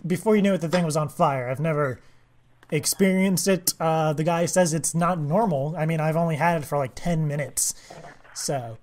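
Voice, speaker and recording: A man making a prank call, speaking in a deadpan, mock-earnest way with halting, rambling delivery. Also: a little high, slight background noise. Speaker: prank caller, host of a prank call show.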